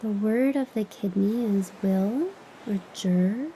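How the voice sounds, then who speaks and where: Woman, guided meditation, mellow soft tone, gravelly fry, breathy trailing endings, long pauses, faint background noise. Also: mid-range voice, low-quality recording. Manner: soothing, unhurried, with long pauses. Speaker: meditation teacher and podcast host.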